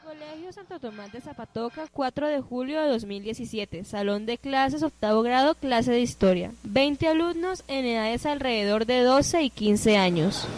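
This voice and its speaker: A woman's voice: fairly high pitch, animated, moderate pace, few pauses, a little hiss or hum. A woman with a Colombian accent giving scripted narration for a radio drama. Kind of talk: scripted scene-setting narration.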